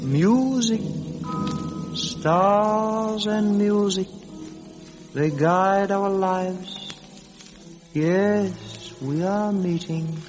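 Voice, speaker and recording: A slow, solemn séance medium. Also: female voice, medium pitch. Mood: solemn, trancelike. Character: imperious, theatrical female spirit medium leading a séance. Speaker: actor in a radio detective drama. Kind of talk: old-time radio acting. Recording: vintage radio recording with music.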